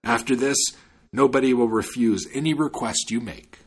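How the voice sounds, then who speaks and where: A man voicing a kindly magical dwarf in a storybook tale, speaking in measured, solemn pronouncements. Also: mid-range voice, slightly rough, natural voice. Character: kindly magical male dwarf granting wishes.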